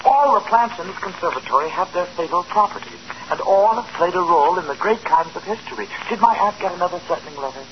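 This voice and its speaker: An actor playing a sickly, poison-obsessed young invalid in a 1940s radio drama, with a sinister, relishing manner. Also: male voice, high voice, expressive, fast talker, hardly any pauses, slight background noise. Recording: dull lo-fi old radio broadcast.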